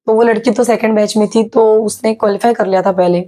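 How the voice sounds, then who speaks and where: A young woman from Maharashtra with a slightly sore, hoarse throat, telling a casual vlog story with many hesitations. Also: medium pitch, steady tone, a little fast, dark tone. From Maharashtra, India. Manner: chatty, many hesitations and English words mixed in. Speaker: YouTuber sharing her exam experience.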